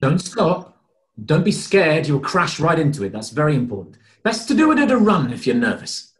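A man's voice: a little high, very animated, moderate pace. An actor playing a reassuring, firm father in his late thirties at a stage play table read, encouraging in manner.

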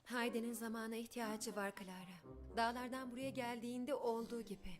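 Caring, persuasive cartoon aunt, coaxing, fairly high, acted by a woman, music clearly behind. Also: fairly even intonation, a little fast, natural voice. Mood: reassuring. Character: young aunt, caring but firmly persuasive. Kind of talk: dubbed cartoon acting.

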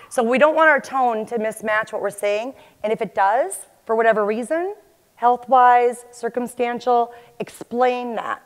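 A woman in her forties speaks as an agile coach at a conference, her voice sitting a little high. She talks animatedly and gestures toward the audience. She is picked up on a headset mic in a large hall. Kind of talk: conference presentation.

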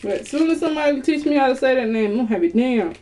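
A woman's voice: sits high, expressive, racing, warm tone, slight background noise. A woman, chatting animatedly to viewers in a casual haul video from a small bedroom, recorded on a webcam. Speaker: vlogger.

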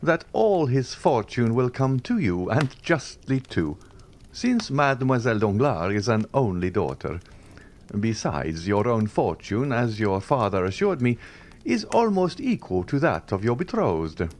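A man voicing a suave nobleman who speaks in a smooth, half-jesting, courteous tone. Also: medium pitch, sing-song, a little slow, a little mellow, slight background noise, pitched above the performer's own voice. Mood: courteous, ironic. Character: suave, coolly ironic older nobleman, male.

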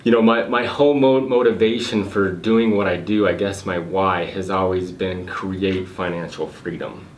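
A man's voice: low-pitched, fairly even intonation, normal speed, few pauses. A man in his thirties being interviewed in an office meeting room, talking casually with many fillers and restarts. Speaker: guest, real estate investor. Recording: echoey room, faint noise.

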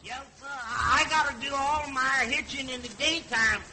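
A man's voice: very high voice, moderate pace, bright, forceful tone, soft background music, heavily acted. A man playing a broad comic stooge in old 1930s radio comedy. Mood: joking. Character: broad mocking minstrel-style comic stooge. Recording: noisy 1930s radio recording.

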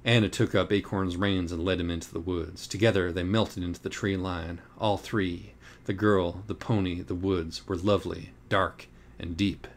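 Male audiobook reader, lower-pitched, wry and deliberate, literary delivery. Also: fairly even intonation, slight background noise. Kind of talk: scripted audiobook narration.

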